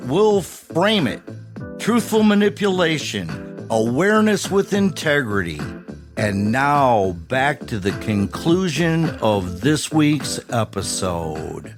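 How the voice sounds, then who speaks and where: A man's voice: mid-range voice, sing-song, takes it slowly, over music. A man co-hosting a podcast, delivering scripted commentary in short, punchy rhetorical phrases with wry humour. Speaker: podcast co-host.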